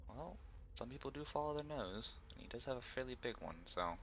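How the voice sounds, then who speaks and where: A man's voice: mid-range voice, lively intonation, brisk, a bit bright, muffled recording. A man hosting a gameplay video with casual, joking commentary. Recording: clear ambient noise behind the voice.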